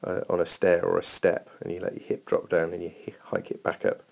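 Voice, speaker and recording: A man's voice: sits low, completely flat delivery, a little fast, creaky, darkish tone. A man giving informal running technique feedback over a training video as a coach, thinking aloud in a hesitant way.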